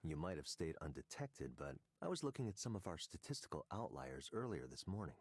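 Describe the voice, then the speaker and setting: Smooth, needling tech boss. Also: male voice, deep voice, no echo, clean studio sound, full character voice. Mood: cool, probing. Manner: calm, measured, quietly needling. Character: smooth, probing male tech executive. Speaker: game character.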